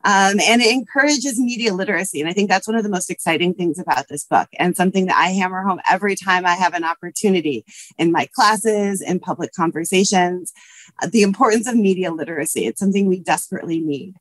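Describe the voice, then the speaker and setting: A woman's voice: on the low side, fast, dry recording. Scratchy-voiced woman on a video call. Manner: earnest, with frequent um and uh fillers. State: scratchy voice from allergies. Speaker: history professor and panelist. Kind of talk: prepared remarks on a video call. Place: office with bookshelves. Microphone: webcam video call.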